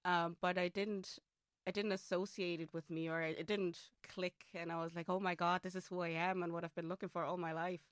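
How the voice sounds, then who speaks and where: A non-binary speaker with a feminine voice in their late twenties, from Austria with an Austrian German accent and German as a first language, talking through a thoughtful interview with many fillers and restarts. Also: female voice, on the low side, level tone, a little fast, dry recording, clean studio sound. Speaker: guest. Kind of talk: casual interview chat.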